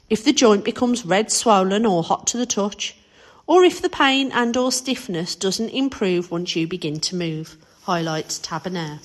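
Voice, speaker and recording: A woman giving a scripted narration of a written article. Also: medium pitch.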